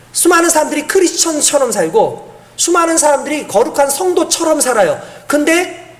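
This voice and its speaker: A male pastor delivering an earnest, emphatic sermon, asking the congregation rhetorical questions and chuckling now and then. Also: extremely high pitch.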